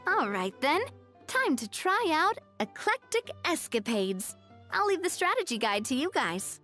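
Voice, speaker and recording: A woman's voice: high-pitched, sing-song, unhurried, pushed and bright, faint music behind, exaggerated voice. A woman playing a cheerful, courteous young woman in a video game, explaining things in an upbeat, reassuring, articulate way.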